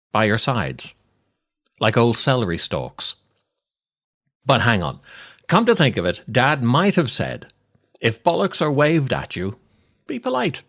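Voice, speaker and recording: Man in his sixties, expressive storyteller. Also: medium pitch. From Ireland. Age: 60s. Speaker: podcast host and storyteller. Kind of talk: scripted comic monologue, read aloud.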